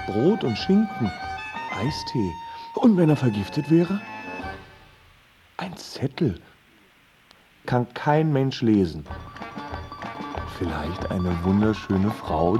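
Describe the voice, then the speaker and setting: Ein Schauspieler spielt in einem Hörspiel einen verwirrten, ängstlichen Träumer, der theatralisch mit sich selbst spricht. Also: male voice, on the low side, heavily acted. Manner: theatrical, musing aloud. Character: bewildered, dramatic husband, dreamy and fearful. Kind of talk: radio drama monologue.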